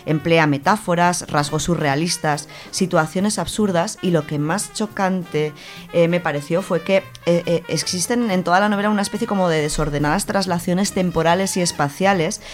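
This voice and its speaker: A woman with an accent from Spain speaks in a low register. She presents books in an informal, enthusiastic way, moving between prepared notes and conversational comment. Light music plays behind her voice. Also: moderate pace. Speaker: radio show book reviewer.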